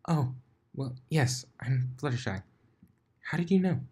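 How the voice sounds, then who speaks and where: Give me a man playing a whispery, shy young mare, high and hushed. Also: expressive, unhurried, slightly rough. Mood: timid. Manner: whispery, hesitant, apologetic. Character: shy gentle young mare, soft and fretful.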